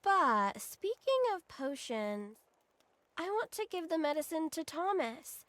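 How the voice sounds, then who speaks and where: A sweet little girl in a fantasy game, earnest and caring. The voice is very high and lilting, recorded dry and close. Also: slow, no echo, full character voice. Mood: tender, determined. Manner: earnest, tender, expressive. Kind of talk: video game cutscene acting.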